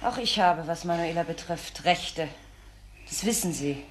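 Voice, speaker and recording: A woman's voice: lowish voice, a little slow, a little hiss or hum, natural voice. An actress playing an anxious but resolute, protective woman in a crime radio drama. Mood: firm, strained.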